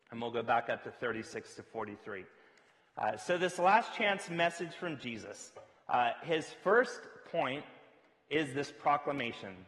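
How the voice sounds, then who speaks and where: A man preaching a conversational sermon, a pastor with a loud voice who talks in a relaxed way, with filler words and quoted asides. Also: a little high, long reverb tail, low-quality recording.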